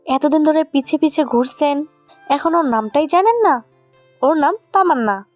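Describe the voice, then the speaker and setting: High-pitched feisty schoolgirl, played by a woman, stern but able to soften, audio drama, soft music underneath. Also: expressive, a little slow, no room sound. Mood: calmer.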